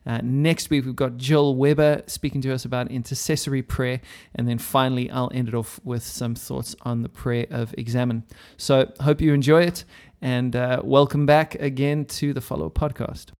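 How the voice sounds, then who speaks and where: A man hosting a podcast, introducing the episode in a relaxed, friendly way with a few fillers. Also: medium pitch, normal speed. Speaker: podcast host.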